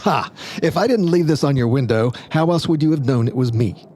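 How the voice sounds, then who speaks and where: A man's voice: medium pitch, a little fast, darkish tone, close to an everyday voice. A man playing a smug, egotistical stage magician in an audio drama, taunting and persuasive in a theatrical way. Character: smug, egotistical, coaxing male magician, former stage star.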